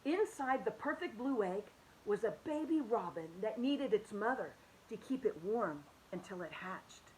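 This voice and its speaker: Animated woman reading a picture book. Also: mid-range voice, measured pace, a little hiss or hum, rough recording. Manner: expressive and animated, with soft hushed drops. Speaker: children's story reader.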